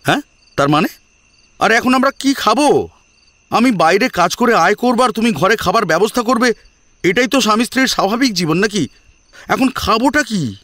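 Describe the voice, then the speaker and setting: A man's voice: high voice, expressive, normal speed, long pauses, bright, forceful tone, no echo, pitched above the performer's own voice. A man playing an exasperated, demanding young husband who nags indignantly in an audio drama. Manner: indignant, nagging.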